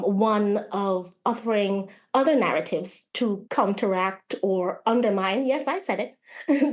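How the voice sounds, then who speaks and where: A woman's voice: mid-range voice, a little mellow. A woman playwright from Canada answering in an interview, candid and informal. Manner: candid, informal, acts out quotes.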